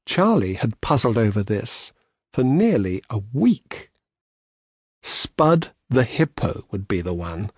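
Man, children's storybook narrator, unhurried, slightly rough-edged voice, scripted verse, measured delivery. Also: medium pitch, sing-song, no echo, studio quality. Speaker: audiobook narrator.

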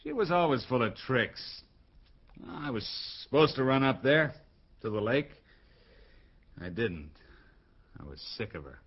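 A snappy evasive playboy, voice jumps around a lot, kinda muffled radio drama. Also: male voice, mid-range voice, sing-song, unhurried, pauses often, husky, full character voice. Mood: sullen, fed up. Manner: impatient, dismissive. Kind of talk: hardboiled radio drama dialogue.

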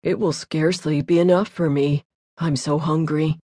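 A woman voicing a gluttonous man who is never full, talking low and gruff. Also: fairly even intonation, normal speed, mellow, no echo, clean studio sound. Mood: complaining. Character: huge gluttonous man, always hungry.

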